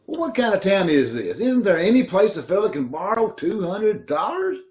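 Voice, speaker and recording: A man's voice: high-pitched, big pitch swings, moderate pace, a little mellow. A man playing an anxious younger brother begging for a loan, in old-time radio comedy style, from home on a video call. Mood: pleading. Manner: wheedling, anxious. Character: pleading broke younger brother. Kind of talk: 1930s radio comedy acting.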